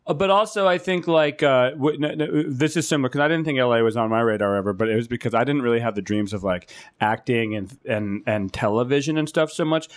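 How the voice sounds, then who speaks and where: A man with a deep voice co-hosting a podcast, rambling with stammering restarts. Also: quick pace, few pauses. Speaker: podcast co-host. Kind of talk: casual chat.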